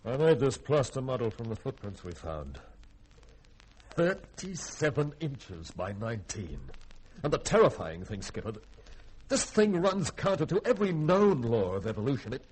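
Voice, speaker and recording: An actor playing a grave, unsettled spaceship doctor in a 1950s radio drama, speaking with earnest, halting emphasis. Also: male voice, medium pitch, huge pitch swings, darkish tone, husky, full character voice. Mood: alarmed wonder. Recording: noisy old radio recording.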